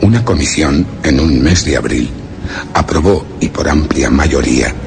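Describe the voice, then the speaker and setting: A man playing a grave expedition leader, narrating a radio drama in a solemn, formal, measured literary manner. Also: a bass voice, creaky, heavily acted. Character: grave male expedition leader recounting a mysterious journey.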